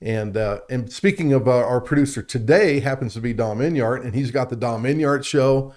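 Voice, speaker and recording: This is a man co-hosting a talk show on science and faith. He chats in an enthusiastic, conversational way. His voice sits at a medium pitch for a man. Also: normal speed, darkish tone. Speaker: radio show co-host.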